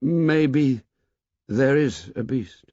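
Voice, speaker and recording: A man voicing a shy young boy who speaks hesitantly and haltingly. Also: mid-range voice, darkish tone, no room sound, voice pushed up. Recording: dull, dry recording.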